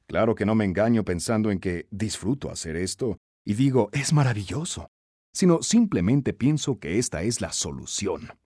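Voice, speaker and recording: A man narrating a scripted self-help audiobook in an emphatic, instructive, expressive way. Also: fairly low pitch, moderate pace, hardly any pauses, dry recording, studio quality.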